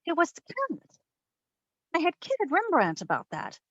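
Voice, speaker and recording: A woman's voice: very high voice, huge pitch swings, normal speed, fairly bright tone, dry recording, lo-fi sound, exaggerated voice. A woman playing a wisecracking, self-assured female private eye in a wry, slangy 1940s radio detective style. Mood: wry recounting. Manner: wry, slangy, theatrical storytelling. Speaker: community theatre voice actor.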